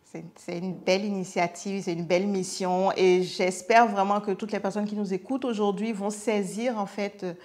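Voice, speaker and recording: A woman's voice: mid-range voice, fairly even intonation, normal speed, hardly any pauses, a little mellow. A woman podcast guest explaining in an enthusiastic, conversational way, with rhetorical questions and repeated words.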